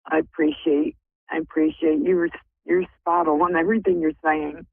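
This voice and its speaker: Grateful, emotional woman caller with a gravelly voice, heard over a dry phone line. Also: deep voice, steady tone, normal speed, dry recording. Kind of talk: phone call-in.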